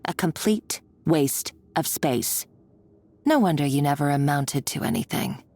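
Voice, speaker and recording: An actress playing a scornful, scheming villainess in a video game, with mocking, taunting delivery. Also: female voice, deep voice, lively intonation, unhurried, vocal fry, dark tone, a little hiss or hum, exaggerated voice. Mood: gloating. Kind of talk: theatrical video game voice acting.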